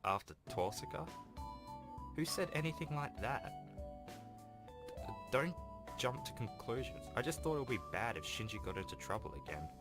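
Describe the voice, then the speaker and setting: A man's voice: mid-range voice, lively intonation, normal speed, pauses often, pitched above the performer's own voice, natural voice. A man voicing an earnest, defensive teenage schoolboy who stammers and protests as he reads a visual novel. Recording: voice over game music.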